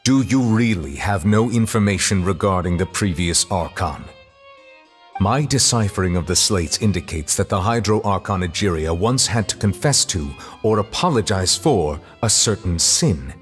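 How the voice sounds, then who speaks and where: A stern formal judge, lowish voice, slow and grave, quiet music under it. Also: male voice, animated. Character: stern dignified male chief justice interrogating. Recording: game audio with soft music.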